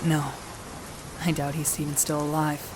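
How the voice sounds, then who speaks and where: An actress playing a battle-hardened warrior sister, who narrates in the first person in a measured, firm way. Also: female voice, low-pitched, flat delivery, background noise, close to an everyday voice. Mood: calm firm. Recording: rain ambience behind the voice.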